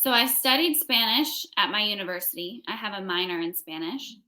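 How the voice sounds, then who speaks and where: A woman's voice: medium pitch, expressive, fairly quick, sharp tone, poor audio. A young American woman tutoring English in a casual one-on-one conversation, phrasing things simply for a learner. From United States.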